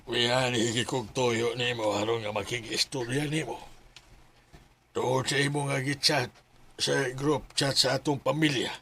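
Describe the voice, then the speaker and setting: A man playing a gravelly accusing younger brother. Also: medium pitch, steady tone, a little slow, a bit bright. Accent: Cebuano. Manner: accusing, demanding. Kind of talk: Bisaya radio drama acting.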